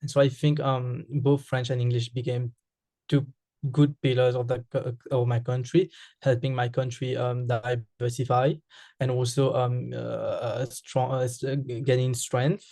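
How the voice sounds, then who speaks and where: A man's voice: mid-range voice, little pitch movement, measured pace, gravelly, warm tone, dry recording. A man from Mauritius with a Mauritian accent, a Creole speaker answering interview questions, hesitant with frequent fillers and restarts.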